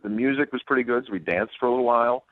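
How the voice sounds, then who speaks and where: A man's voice: mid-range voice, very fast, no echo. A man telling a casual, self-deprecating story as a caller on a telephone line. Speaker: call-in guest telling a dating story. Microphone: phone.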